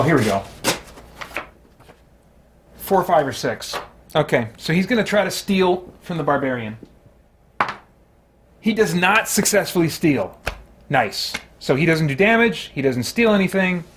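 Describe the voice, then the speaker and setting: A man's voice: on the high side, animated, measured pace, long pauses, background noise. Man casually narrating his solo tabletop game. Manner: mild exclamations, chatty self-talk. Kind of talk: casual play-by-play commentary, thinking aloud.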